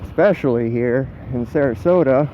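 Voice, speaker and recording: A man's voice: medium pitch, normal speed. A man in his twenties with an American accent, chatting casually and unscripted as a motorcycle vlogger, in a rambling, self-deprecating, casually profane way. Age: 20s. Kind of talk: casual unscripted chat while riding. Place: outdoors, riding a motorcycle on the road. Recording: muffled helmet mic with motor vehicle noise. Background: engine and road noise.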